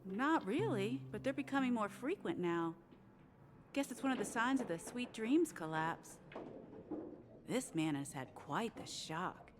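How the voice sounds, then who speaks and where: A woman playing an easygoing, worldly local in a video game, unhurried and composed in manner. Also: on the high side, sing-song, noisy background.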